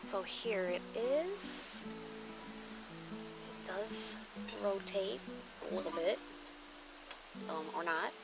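Female vlogger, mid-pitched, gushing and exclamatory casual show-and-tell delivery, outdoor recording with music underneath. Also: lively intonation, very slow, creaky, long pauses, poor audio. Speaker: vlogger showing thrift finds. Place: outdoors on a patio or deck at a table.

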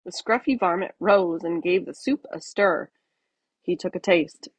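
A woman reading a children's story aloud in an expressive narrating style. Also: on the low side, measured pace, slightly rough, dry recording. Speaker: storybook reader.

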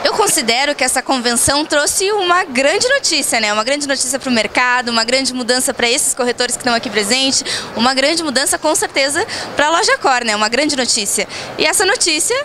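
Bright high-voiced woman interviewer. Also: expressive, brisk, few pauses, a little hiss or hum. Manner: emphatic, repeats phrases. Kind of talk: event interview. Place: event stage backdrop, indoors. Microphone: handheld mic.

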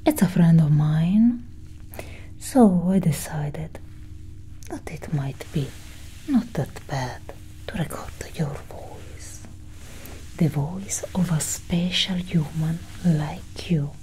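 A young woman whispering breathily and deliberately in a soft ASMR roleplay as a calm alien scientist, close to the mic. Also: low-quality recording. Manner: slow, deliberate, hushed. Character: calm, clinical female alien scientist examining a human. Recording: steady low engine-like hum.

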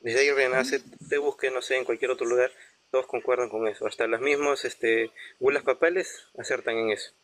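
A man's voice: mid-range voice, fairly even intonation, brisk, a bit bright, dry recording. A man from Peru, calling in to a religious debate, hesitant with many fillers. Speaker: caller. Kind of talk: call-in debate.

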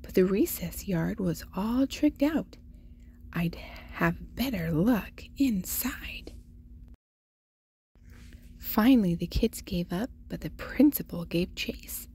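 Slow, husky woman reading a storybook. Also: medium pitch. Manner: deliberate, animated storyteller addressing young children. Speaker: host reading picture books aloud for children. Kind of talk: expressive children's storybook read-aloud.

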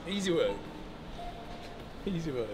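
A man's voice: a little high, expressive, a little slow, noisy background. A young man vlogging casually in a supermarket, cheeky and jokey, with a bit of swearing. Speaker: bodybuilder vlogger. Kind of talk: casual vlog walkthrough.

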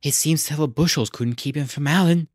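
A man voicing a gentle little male engine with a mild, drily teasing manner in a children's storybook. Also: fairly high pitch, a little fast, no echo, studio quality, lightly acted. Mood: wry, mild.

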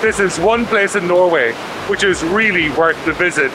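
A man's voice: high-pitched, normal speed, noisy background. Chatty man vlogging on travels. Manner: chatty, wry and upbeat. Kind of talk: casual travel vlog narration. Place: outdoors in rain and inside a campervan. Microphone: handheld camera at arm's length. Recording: music playing underneath.

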